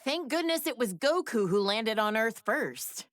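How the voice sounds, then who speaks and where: A woman's voice: a little high, animated, unhurried, fairly bright tone. A woman playing a composed, knowing wife of a warrior in a video game, explaining things in a matter-of-fact, slightly dismissive way. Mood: explanatory. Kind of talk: scripted video game character banter.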